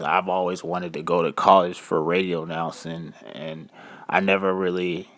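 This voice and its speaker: Laid-back young man with a deep voice. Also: a bit bright. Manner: laid-back, chatty, a bit hesitant. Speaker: vlogger. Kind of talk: casual commentary voice-over.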